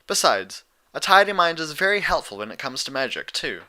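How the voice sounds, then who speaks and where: A studious young unicorn mare from a storybook, played by a man, pitched well above his usual register. The delivery is precise and orderly, fussy about detail, with a very bright, forward edge. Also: big pitch swings, a little fast, heavily acted. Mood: earnest, defensive. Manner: precise, bookish, wordy phrasing.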